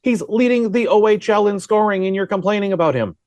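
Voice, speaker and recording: A man's voice: high voice, brisk, darkish tone, no echo. A man working as a sports broadcaster, giving informal, talkative, conversational analysis with rambling asides.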